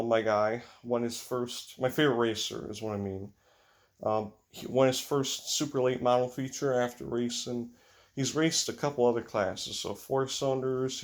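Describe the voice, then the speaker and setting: A teenage American man with an Upper Midwest accent, talking in a rambling, hesitant way full of fillers and sighs. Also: medium pitch. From United States. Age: teens. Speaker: racing vlogger. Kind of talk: rambling casual monologue.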